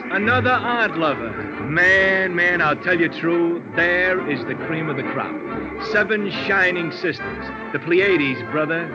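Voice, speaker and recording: Music plays behind a dull old radio recording of a chatty American hipster barman. His voice is fairly high and swings widely as he rolls out streetwise slang and puffed-up vocabulary. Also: lively intonation, measured pace. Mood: breezy, boastful. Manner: breezy slangy patter with pompous big words. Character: chatty, self-improving hipster male bartender, breezy and streetwise. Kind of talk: 1950s American radio character acting.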